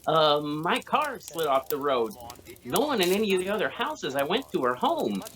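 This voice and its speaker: A woman's voice: sits low, very animated, moderate pace, fairly bright tone. A woman playing a polite, bashful male magician in a radio play, with a hesitant, apologetic manner. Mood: sheepish.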